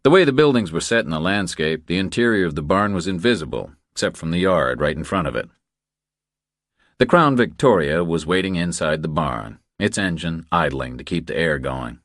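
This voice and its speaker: A man's voice: sits low, expressive, normal speed, fairly bright tone, no echo, clean studio sound. A man reading a thriller audiobook as scripted narration.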